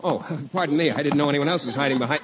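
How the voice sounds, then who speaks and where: An actor playing a shy, mild-mannered, easily flustered schoolteacher, hesitant and polite, in 1950s radio comedy. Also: male voice, a little high, very fast, lightly acted. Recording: muffled, noisy 1950s radio recording.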